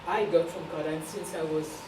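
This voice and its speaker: Lowish-voiced woman, echoey room. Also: fairly even intonation, moderate pace, recorded from a distance. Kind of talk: announcement and remarks to a gathering. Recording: echoey, noisy room from afar.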